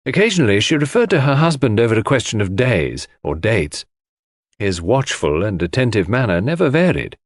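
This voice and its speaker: Expressive male audiobook narrator, dry studio sound. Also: lowish voice, a little fast, no echo. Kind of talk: scripted dramatic narration of a classic mystery.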